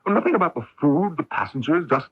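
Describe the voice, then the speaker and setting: Domineering rich patriarch, middle-aged; husky low voice, swooping sardonic grumble, old radio play. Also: male voice, very animated, fast talker, full character voice. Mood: grumbling. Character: wealthy, bitter self-made father and domineering husband. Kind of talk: radio drama acting. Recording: muffled early-1980s AM radio broadcast.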